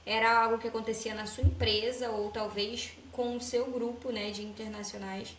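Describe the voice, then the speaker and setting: A woman's voice: a little high, level tone, moderate pace, a bit bright. Curious woman co-host, far from the mic. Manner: curious, thoughtful questioning. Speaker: podcast co-host. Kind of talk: interview question. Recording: distant, roomy mic with faint noise.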